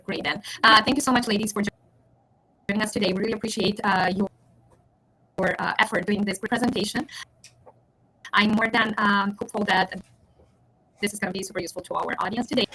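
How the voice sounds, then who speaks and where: A woman's voice: medium pitch, steady tone, fairly quick, long pauses, bright, forceful tone, breathy edge, low-quality recording. A woman education advisor hosting a live webinar, friendly and talking with many fillers and restarts.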